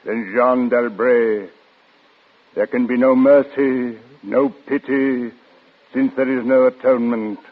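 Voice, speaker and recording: Slow, merciless Inquisition judge. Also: male voice, medium pitch, steady tone. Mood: stern, solemn. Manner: solemn, formal, measured pronouncements. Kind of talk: old-time radio drama acting. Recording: dull, lo-fi old radio recording.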